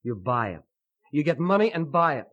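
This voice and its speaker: An actor playing an earnest, lovesick young man who speaks in halting, poetic, fervent phrases in a melodramatic radio drama. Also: on the high side, very animated, a bit bright. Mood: brooding, earnest. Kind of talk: melodramatic old-time radio acting. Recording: muffled vintage radio recording.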